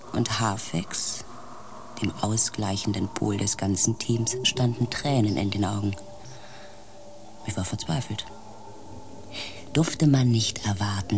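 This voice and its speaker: An actress playing a restrained space expedition coordinator who narrates in a composed, formal way in a radio drama. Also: female voice, extremely low pitch, background noise. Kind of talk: scripted first-person radio drama narration.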